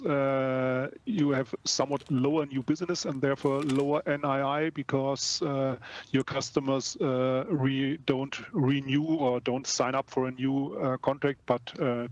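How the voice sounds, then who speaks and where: A man's voice: medium pitch, no room sound. A man asking polite analyst questions over a remote earnings-call connection. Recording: dull, band-limited call line.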